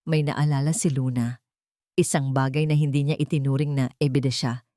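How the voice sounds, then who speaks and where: A woman with a Filipino accent narrating a dramatic scripted story in deliberate, short phrases with pauses. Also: sits low, mellow, dry recording, studio quality.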